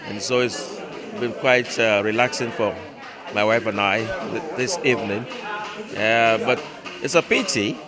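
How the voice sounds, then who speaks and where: A man's voice: mid-range voice, unhurried. A middle-aged man with a Nigerian accent, speaking reflectively as an interviewed audience member. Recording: crowd chatter at a venue.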